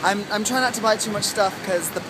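A man's voice: sits high, brisk, very noisy. A man who performs as a drag queen, from the United States, chatting playfully on a travel vlog while filming himself with a handheld camera. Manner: playful, campy, says darling. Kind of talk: casual travel vlog chat. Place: out and about in city streets and shops. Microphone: handheld camera at arm's length.

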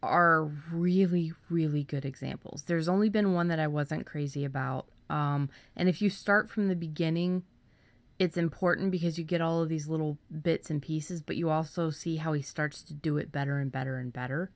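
Low-voiced woman in casual discussion. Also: normal speed, no echo. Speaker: podcast co-host.

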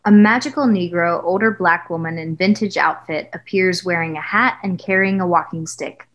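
A woman's voice: on the low side, moderate pace, a bit bright, lo-fi sound. A woman reading screenplay stage directions as scripted narration.

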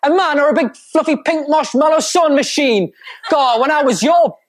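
A man's voice: very high voice, normal speed. A young man presenting a children's TV sketch as a smug, mock-earnest agony uncle in a studio. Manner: smug, sarcastic, over-earnest.

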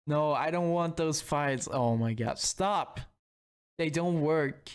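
Flustered male game streamer, unhurried and halting, casual live chat. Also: fairly high pitch, clean tone. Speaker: streamer and indie game developer. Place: indoor room at home.

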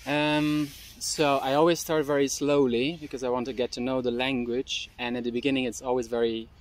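Man, Flemish accent, 30s, relaxed, fillers, restarts, mid pitch, outdoor park. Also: a little fast, slight background noise. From Belgium. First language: Dutch. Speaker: guest, language teacher. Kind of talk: casual interview answers. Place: park, seated at a picnic table outdoors.

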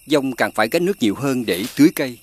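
Fast-paced male fairy-tale narrator. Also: mid-range voice, animated. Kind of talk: scripted storybook narration.

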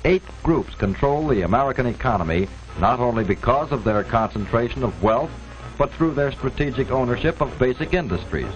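A man's voice: mid-range voice, lively intonation, a little fast, faint music behind. A man delivering scripted 1940s-style documentary narration in a formal, emphatic way. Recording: dull, noisy old archival film soundtrack.

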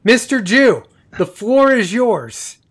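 A middle-aged man with a notably high voice hosts a live online chat. He speaks slowly and haltingly, with a courteous, casual manner toward his guests. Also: big pitch swings, slightly rough. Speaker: live show host. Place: small home office, indoors.

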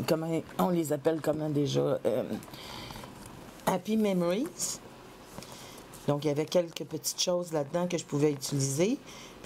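A woman from Quebec with a head cold, chatting casually while decorating a planner, halting with many fillers. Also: sits low, warm tone, slight background noise. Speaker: planner vlogger. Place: home craft table, indoors.

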